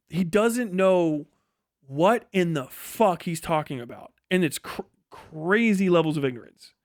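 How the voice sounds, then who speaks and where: A man's voice: high voice, dry recording, studio quality. A man giving casual, ranting live-stream political commentary in a profane, scornful, emphatic way, often repeating phrases for emphasis.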